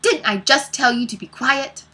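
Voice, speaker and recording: A woman's voice: on the high side, lively intonation, brisk, bright, forceful tone, slightly rough, voice pushed up, exaggerated voice. A woman voicing a mean, short-tempered woman schoolteacher in a storybook, bossy, mocking and snapping. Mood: furious.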